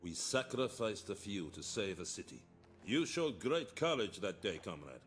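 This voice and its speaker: An actor playing a hardline, zealous Soviet officer in a game cutscene, speaking in a stern, declamatory, authoritative manner. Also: male voice, lowish voice, very animated, normal speed, faint music behind. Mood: stern, assured. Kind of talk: dramatic game cutscene dialogue.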